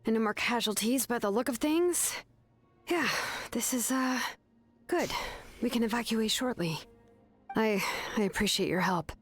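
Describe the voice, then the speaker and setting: A woman playing a serious, dutiful young female warrior in a video game, earnest and measured in her delivery. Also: fairly high pitch, lively intonation, slightly rough, faint music behind. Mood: grave, weary. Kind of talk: dramatic video game voice acting.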